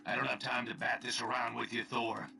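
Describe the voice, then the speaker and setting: A man's voice: mid-range voice, monotone, fairly quick, vocal fry, bright, forceful tone, soft background music. A man playing a mind-controlled colonel in a video game, blunt, dismissive and threatening. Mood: cold menace. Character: menacing mind-controlled male colonel, cold and impatient. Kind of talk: video game cutscene acting.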